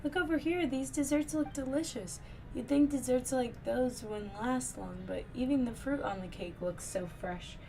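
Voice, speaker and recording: A woman's voice: high voice, normal speed, vocal fry, darkish tone, slight background noise, pitched above the performer's own voice. A woman voicing a small, chirpy, food-loving fairy companion from a video game, eager in manner. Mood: eager, tempted. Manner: speaks of herself in third person, eager.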